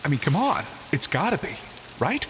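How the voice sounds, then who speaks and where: A man's voice: on the high side, big pitch swings, normal speed, a bit bright, big-room sound, slight background noise. A man playing a wary, quippy young adventurer in an audio drama, incredulous and protective in manner.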